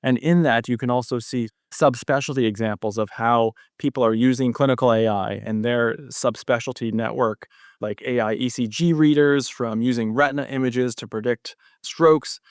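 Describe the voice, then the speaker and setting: A young man talks as a medical expert guest on a podcast. His mid-pitched voice is smooth and clean, recorded dry, as he explains things in a conversational way. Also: fairly even intonation, normal speed, no room sound. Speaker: cardiology fellow, podcast guest. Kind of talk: explanatory interview talk.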